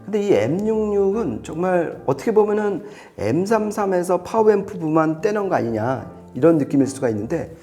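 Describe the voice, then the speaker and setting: Bright, lively man explaining audio gear. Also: high voice, moderate pace, hardly any pauses, faint music behind. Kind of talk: conversational product explainer.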